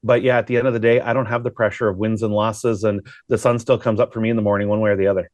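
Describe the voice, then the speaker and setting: A man's voice: fairly low pitch, little pitch movement, racing, a little mellow, no echo. Talkative man giving sports analysis, dry close sound. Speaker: sports broadcaster.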